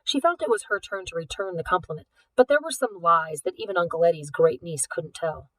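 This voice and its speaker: A woman's voice: on the low side, fairly quick, fairly bright tone, no room sound, far from the mic, low-quality recording. Woman narrating a novel, dry sound. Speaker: teacher reading aloud. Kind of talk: scripted audiobook narration.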